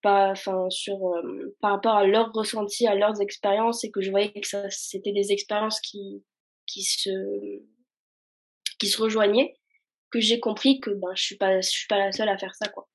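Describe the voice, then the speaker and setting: A woman's voice: mid-range voice, level tone, moderate pace, slightly rough. A young woman speaking in a casual conversation, hesitant and searching for words with many fillers.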